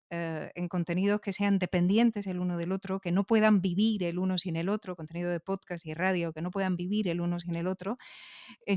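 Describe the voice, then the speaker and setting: A woman's voice: mid-range voice, darkish tone, clean tone, dry recording, muffled recording. A woman with an accent from Spain conducting a conversational podcast interview, thinking aloud as she talks. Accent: Spanish from Spain.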